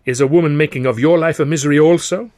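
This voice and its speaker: A man's voice: fairly high pitch, quick pace, darkish tone, no room sound, clean studio sound, pitched above the performer's own voice, natural voice. A man voicing an effusive, worldly Frenchman of the stage in an audiobook, melodramatic and flowery in his phrasing. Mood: teasing sympathy.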